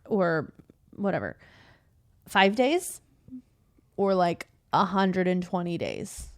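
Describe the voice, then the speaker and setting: A woman's voice: on the low side, big pitch swings, takes it slowly, no room sound, studio quality. A woman co-hosting a podcast in casual chat, playful and chatty, laughing often. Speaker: podcast co-host.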